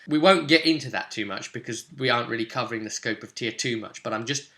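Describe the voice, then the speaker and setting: A man's voice: medium pitch, fast, sharp tone. A man presenting a guide in an informal, explanatory way, chatty and given to tossing in rhetorical questions. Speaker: presenter of a tabletop game guide.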